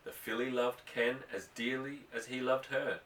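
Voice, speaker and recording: A man's voice: mid-range voice, flat delivery, normal speed, fairly bright tone, a little hiss or hum. A man reading a novel aloud as scripted narration, with dramatic, emphatic phrasing, recorded in a roomy space away from the microphone. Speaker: audiobook reader. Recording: roomy echo, distant microphone.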